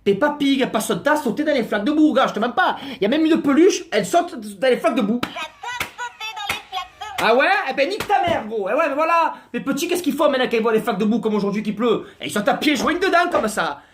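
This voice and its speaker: A man's voice: extremely high pitch, lively intonation, fast. A man doing a slangy, exasperated comic rant to camera. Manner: slangy, exasperated, mock-outraged. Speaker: comic vlogger.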